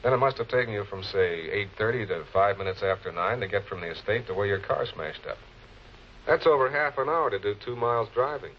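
A low male voice from an actor plays a composed prosecutor, measured and polite as he presses for answers. It is 1940s crime drama delivery on a dull old soundtrack with clear background noise. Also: lively intonation, close to an everyday voice. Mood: probing. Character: composed, probing male public prosecutor questioning suspects. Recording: muffled, noisy old film soundtrack.

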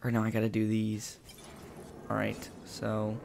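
Gravelly-voiced man narrating his gameplay. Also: fairly low pitch, monotone, unhurried, noisy background. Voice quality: gravelly from just waking up. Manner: chatty, talks himself through the game. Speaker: gameplay commentator. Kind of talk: casual let's-play commentary. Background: game music and sound effects.

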